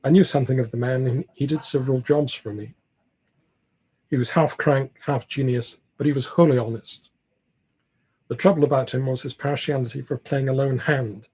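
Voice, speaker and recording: A man reading aloud the part of a genial, shrewd upper-class government gentleman from a classic novel. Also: mid-range voice, steady tone, normal speed, slightly rough, natural voice, rough recording. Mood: frank, opinionated.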